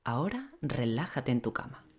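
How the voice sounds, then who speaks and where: A woman leading a guided sleep meditation in a gentle, unhurried way with long pauses, giving slow, soothing instructions. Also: a bass voice, very animated. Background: relaxing rain sound and soft music.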